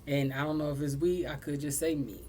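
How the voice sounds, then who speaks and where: A young adult woman hosting a casual sibling podcast, blunt and animated, cussing freely and laughing often. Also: low voice, brisk, a little hiss or hum. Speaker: podcast host.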